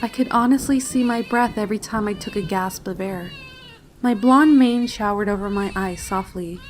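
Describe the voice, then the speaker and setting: A woman's voice: medium pitch, normal speed, close to an everyday voice, low-quality recording. A woman voicing a frightened, tearful little pony filly, telling her horror story in a solemn, dramatic, emotive way. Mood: fearful. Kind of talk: first-person dramatic fanfiction horror narration. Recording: clear noise and sound effects behind. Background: storm and animal sound effects, noise.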